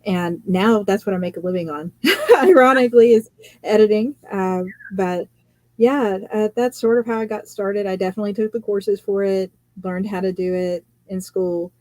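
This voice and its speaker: A woman, a fantasy author and editor, telling her story in a casual live chat, chatty and quick to laugh, often quoting what others said. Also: mid-range voice, darkish tone, no echo.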